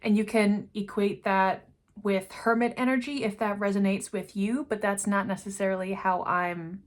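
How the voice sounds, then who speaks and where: A woman giving an unscripted spiritual card reading to camera, meandering and often trailing off into quiet asides. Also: mid-range voice, steady tone, moderate pace. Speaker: intuitive card reader.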